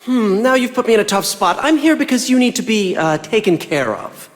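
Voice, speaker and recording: A man's voice: high-pitched, lively intonation, brisk. A man voicing a sly, smug scheming male villain in a video game, talking down in a mocking, theatrical way. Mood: wry menace. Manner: mocking, theatrical, talks down to listener. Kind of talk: English video game voice acting. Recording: long reverb, faint music behind. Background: faint music.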